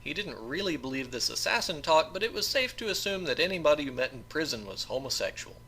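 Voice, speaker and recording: Very bright-voiced male audiobook reader. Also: a little high, big pitch swings, fast, a little hiss or hum. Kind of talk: scripted narration of a novel.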